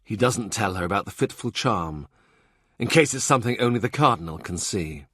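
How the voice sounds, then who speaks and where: A man narrating a historical novel as an audiobook in a measured, even-toned reading. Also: on the low side, breathy edge, no room sound.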